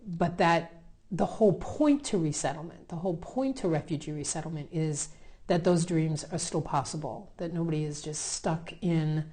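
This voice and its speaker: A woman's voice: low voice, moderate pace, dark tone. A woman hosting a conversational interview, speaking in an affirming, reflective way as a religious sister.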